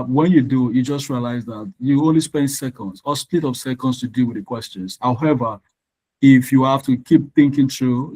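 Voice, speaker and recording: A man's voice: mid-range voice, warm tone, low-quality recording. A man from Nigeria whose first language is Yoruba, teaching an online exam-prep lesson, explaining patiently and spelling words out letter by letter. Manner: frequent "all right" checks with learners. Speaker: tutor.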